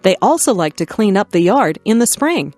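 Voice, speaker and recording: A woman narrating simple scripted stories for language learners, reading in careful, clearly separated phrases. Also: mid-range voice, very animated, normal speed, a little mellow, dry recording, faint music behind. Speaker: narrator of an English listening lesson. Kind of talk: scripted narration, read-aloud beginner stories.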